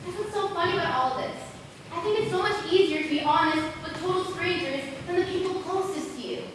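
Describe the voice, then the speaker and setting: A young female student actor playing a gentle, wistful girl haunted by loss in a school stage play. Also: extremely high pitch, brisk. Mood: gentle, candid. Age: teenager or young adult. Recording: echoey noisy stage, distant mic.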